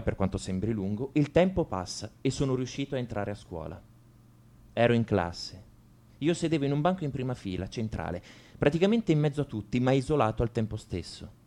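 Un attore che interpreta un liceale tormentato e amareggiato, in un monologo confessionale di radiodramma, con enfasi riflessiva. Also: male voice, fairly low pitch, darkish tone. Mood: wry, bitter. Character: brooding male high school student confessing to violence. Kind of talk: radio drama monologue, first-person narration.

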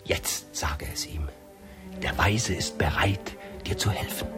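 An actor playing an obsequious, wily manservant in a radio play, with a theatrical, deferential manner. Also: male voice, sits low, slow, music in the background. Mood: prompting, instructing. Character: obsequious male servant, wily accomplice of a lord. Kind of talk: radio drama acting.

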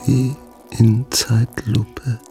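An actor playing a dazed, injured man in a radio drama, narrating in a detached, creaky voice. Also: mid-range voice, little pitch movement, very slow, warm tone, faint music behind. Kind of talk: slow first-person radio drama monologue.

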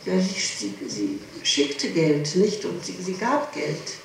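A middle-aged woman answering questions in an interrogation, speaking haltingly, repeating herself and protesting. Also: fairly low pitch, sing-song. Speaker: suspect under interrogation. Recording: echoey, distant, noisy archival 1960s tape.

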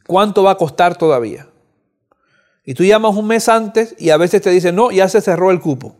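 A man in his fifties teaches a Bible lesson in a somewhat high voice. He speaks earnestly, repeating words as he thinks and climbing in pitch when he stresses a point. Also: darkish tone. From Cuba. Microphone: audio recording.

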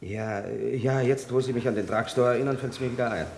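A man's voice: mid-range voice, fairly even intonation, fairly quick, creaky, darkish tone, natural voice. An actor playing a defensive, earnest accused businessman testifying in an old German radio crime drama. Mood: evasive, uneasy. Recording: vintage radio play, echoey courtroom hall.

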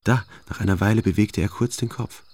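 An actor playing a detached male first-person narrator in a radio play, telling his story in a deadpan, matter-of-fact way. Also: on the low side, a little fast, no echo. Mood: detached, musing.

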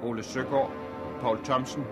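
A man's voice: mid-range voice, steady tone. A man reading closing credits as a radio announcer, in a formal 1960s Danish broadcast style. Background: loud instrumental music.

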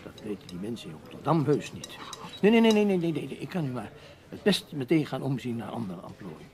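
Dutch radio drama: proud, resigned middle-aged man of letters, wordy and hesitant, creaky voice with big swoops of intonation. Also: medium pitch, big pitch swings, a little fast, darkish tone. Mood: resigned, protesting. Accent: Dutch, Netherlands. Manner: wordy, hesitant, protesting with repeated little words. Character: proud, resigned middle-aged literary newspaper writer, gentlemanly. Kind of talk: Dutch radio play acting.

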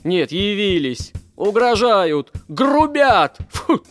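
Mocking children's-play villain: highish voice, unhurried drawl, gloating and mock-sweet, music underneath. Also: male voice, sing-song, heavily acted. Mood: grumbling, irritated. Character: gloating, mocking male villain of a dream land.

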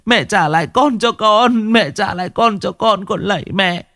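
A man's voice: high voice, lively intonation, slow pace, mellow, breathy edge, no room sound, clean studio sound, voice pushed up, heavily acted. A man voicing a weak young mother who begs and whimpers through tears. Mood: sobbing. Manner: whimpering, begging.

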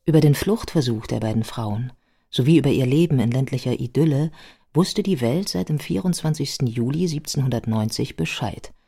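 A woman's voice: rumbling low, animated, fairly quick, gravelly, mellow, husky. A woman narrating a scripted biography as part of a radio play. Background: music.

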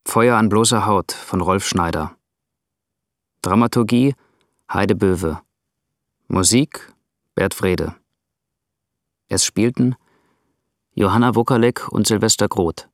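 A male announcer reads radio play credits in a low voice. His delivery is slow and scripted, and the sound is dry and close. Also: dry recording.

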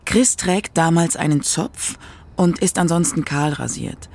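A man's voice: a little high, lively intonation, a little slow, vocal fry, a little mellow. A man reading scripted narration for a radio documentary.

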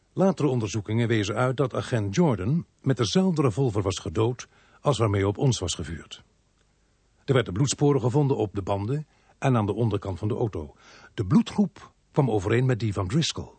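An actor playing a sober, sardonic police inspector who narrates a murder case in a radio play. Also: male voice, fairly low pitch, a little mellow, breathy edge, no echo. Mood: grave.

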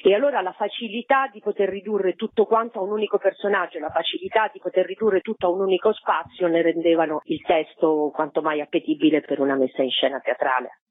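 A woman's voice: on the low side, steady tone, fast, no room sound. A woman speaking over the phone in an interview, explaining her own theatre production in long, articulate, flowing sentences. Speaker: theatre artist presenting own show. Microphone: telephone. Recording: tinny dry phone line.

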